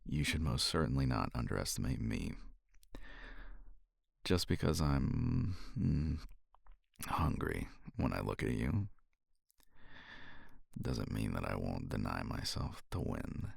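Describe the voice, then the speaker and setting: Male actor as weary undefeated male competitor, intimate ASMR roleplay, slow languid delivery, teasing, flirtatious, lively intonation. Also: very deep voice, gravelly, no room sound, studio quality, full character voice. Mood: flirtatious, warning. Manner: languid, sighing, murmured asides.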